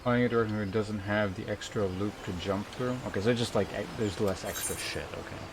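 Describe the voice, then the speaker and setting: A man's voice: fairly low pitch, steady tone, fast talker. A man giving casual, rambling commentary on a game livestream, dryly sarcastic and swearing freely. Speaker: game streamer. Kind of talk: casual livestream gameplay commentary, reading chat aloud. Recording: music behind the voice. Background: game music.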